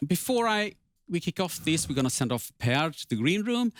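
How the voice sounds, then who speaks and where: A man's voice: on the high side, very animated, normal speed. A man moderating a live online event and explaining things informally to viewers.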